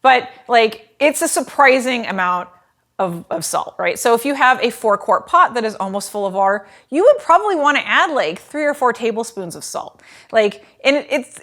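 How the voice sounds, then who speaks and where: Chatty woman teaching pasta cooking. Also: on the high side. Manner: chatty and animated, lots of "like" and gestures. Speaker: cooking show host. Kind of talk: casual cooking lesson to camera. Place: home kitchen, indoors.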